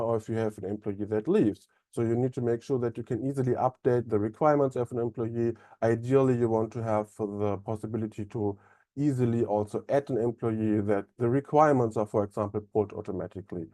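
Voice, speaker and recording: A young man with a German accent presenting a software webinar in a relaxed way, with many fillers and restarts. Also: lowish voice, dry recording, studio quality. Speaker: onboarding specialist presenting a webinar.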